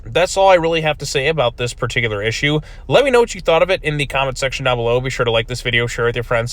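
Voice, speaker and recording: A man's voice: mid-range voice, fairly bright tone. A man giving a casual video review of a comic book, rambling with many fillers, his voice still recovering from being lost.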